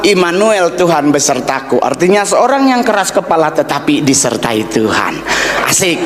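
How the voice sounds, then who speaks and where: A man from North Sulawesi doing a teasing, self-mocking stand-up set at a formal event, addressing the guest of honor again and again. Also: high-pitched. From Indonesia, North Sulawesi. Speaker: stand-up comedian. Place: large indoor event hall. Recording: echoey hall PA with noise.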